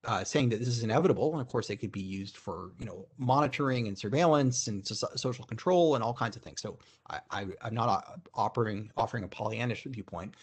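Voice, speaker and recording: A middle-aged man with a somewhat breathy, husky tone answers audience questions as a keynote speaker. He is on a video call from a room at home and talks in a thoughtful way, restarting phrases and filling pauses with "you know". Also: mid-range voice. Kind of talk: conference Q&A answer.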